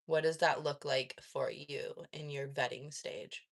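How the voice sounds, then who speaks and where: A woman's voice: deep voice, steady tone, unhurried, vocal fry. A woman hosting a casual live stream, reading chat and asking probing follow-up questions, with frequent laughs and fillers. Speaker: livestream host reading chat.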